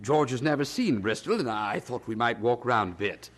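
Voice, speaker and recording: A man plays a blustering, jovial 18th-century townsman in a radio drama. His medium-pitched voice is husky and swings widely, hearty and a little condescending. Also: animated, normal speed, close to an everyday voice. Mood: flustered, evasive. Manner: chuckling, hearty, condescending, stammers when evasive. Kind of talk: audio drama acting.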